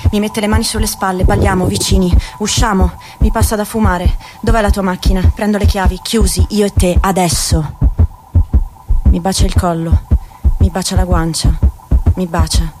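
A woman's voice: medium pitch, level tone, normal speed, lightly acted. An actress playing a naive, broke young mother-to-be, dreamy and stubborn, in a theatrical monologue of short clipped phrases. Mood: numb, intimate. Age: young adult. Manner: recounting in short present-tense phrases. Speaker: stage actress. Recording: music under the voice. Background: stage music.

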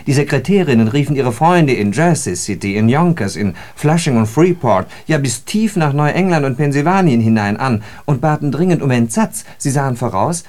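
An actor playing a courteous, worldly Parisian engineer telling an anecdote in a radio drama. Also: male voice, mid-range voice, close to an everyday voice. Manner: animated, courteous, worldly, pointed. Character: urbane Parisian male civil engineer, courteous raconteur. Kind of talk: radio drama monologue, storytelling.

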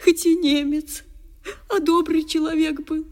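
Poor old woman, very high voice, folksy wailing speech, scolding yet tender, radio drama. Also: level tone, a little slow. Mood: tearful lament. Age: older. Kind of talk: Russian radio drama acting.

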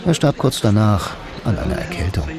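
Deep deadpan noir narrator. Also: male voice, normal speed, crowd noise. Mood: bitter, dry. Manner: dry, deadpan, laconic. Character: sardonic blind male bank copywriter, a lonely self-styled noir hero. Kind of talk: first-person radio play narration. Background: music.

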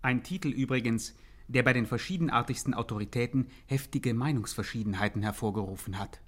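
A man's voice: medium pitch, brisk, fairly bright tone, lightly acted. A man playing a formal, mock-factual narrator in a satirical 1950s radio crime chronicle.

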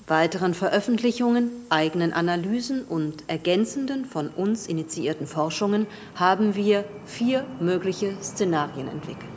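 An actress playing a sober scientific expert giving a formal, clinical briefing to ministers in a radio play. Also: female voice, fairly low pitch, animated, moderate pace, few pauses, lightly acted. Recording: reverberant hall with clear noise.

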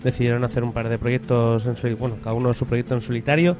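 A man with a Spanish Alicante accent introduces a song on a community radio show. He talks in a flat, even tone with little rise and fall. Guitar music plays clearly behind him. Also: mid-range voice, quick pace. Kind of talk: casual radio chat.

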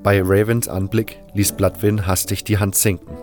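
A man's voice: fairly low pitch, flat delivery, moderate pace, a little mellow. A man narrating a scripted first-person adventure story for a fan audio drama. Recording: music under the voice. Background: music.

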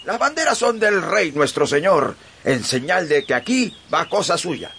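Brash lion keeper for radio play: high, forceful, excitable, theatrical old-time phrasing. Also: male voice, a little slow, creaky, a little hiss or hum, exaggerated voice. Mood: proud. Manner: emphatic, theatrical, archaic speech. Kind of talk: radio drama acting.

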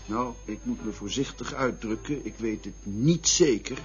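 An actor playing a dry, aloof, stern companion in a radio play, with a reserved, formal, reproving manner. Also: male voice, medium pitch, moderate pace, close to an everyday voice. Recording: dull lo-fi radio recording with music.

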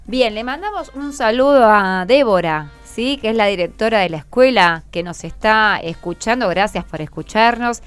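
A woman's voice: mid-range voice, moderate pace, few pauses, clean tone, soft background music. A woman with a Buenos Aires Argentine accent hosting a casual radio interview with schoolchildren, prompting and reassuring them. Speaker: radio host. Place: radio studio. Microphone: studio microphone.

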